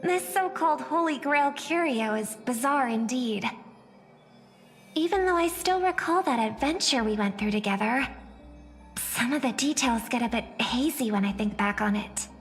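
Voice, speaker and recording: An actress playing a gentle, gracious young female star, speaking politely and composedly as a game character, in a reverberant recording. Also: high voice, animated, normal speed, faint music behind. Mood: reflective. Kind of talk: scripted game character dialogue.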